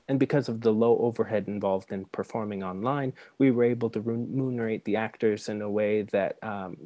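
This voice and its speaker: Mellow-voiced man presenting a paper. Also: medium pitch, dry recording. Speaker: conference panel speaker. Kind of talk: prepared academic presentation read aloud. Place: home room, indoors, on a video call. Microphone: wired earbuds on a video call.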